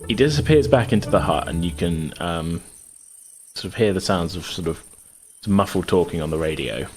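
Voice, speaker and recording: A man improvising narration as game master of a tabletop roleplaying game, halting and circling back over his phrases. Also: low-pitched, lively intonation, crowd noise.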